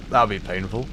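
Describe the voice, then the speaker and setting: Low-voiced man streaming, noisy background. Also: sharp tone. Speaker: livestreamer playing a video game. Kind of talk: casual gaming stream chat.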